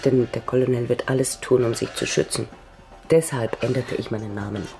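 An actress playing an anxious, determined, secretive woman in a radio drama, with a husky, soft voice and urgent, pleading delivery. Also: a bass voice, steady tone, a little fast, faint music behind. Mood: subdued confession. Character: anxious, determined woman hiding a dangerous past.

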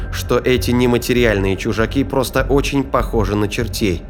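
A man giving a measured, grave scripted narration for a sci-fi thriller audio drama. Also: lowish voice. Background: faint background music.

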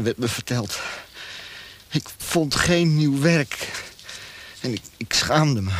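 A man acts out a weakened prisoner in a radio play, with a medium-pitched voice. He speaks haltingly and earnestly, like someone worn down who keeps pleading his case. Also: close to an everyday voice. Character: weakened, pleading tortured prisoner, a former bank clerk.

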